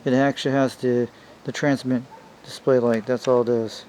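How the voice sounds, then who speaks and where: A man's voice: mid-range voice, flat delivery, moderate pace, a little mellow. Man casually rambling over noisy home video. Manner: informal, rambling, addressing viewers as you guys. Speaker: hobbyist showing a vintage CB radio. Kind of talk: casual hands-on show-and-tell.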